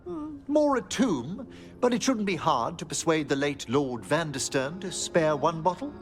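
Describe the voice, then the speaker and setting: An actor playing a courteous, scholarly gentleman necromancer in a fantasy game, with formal, genteel phrasing. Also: a little high, animated, unhurried, soft background music. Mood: polite, wry.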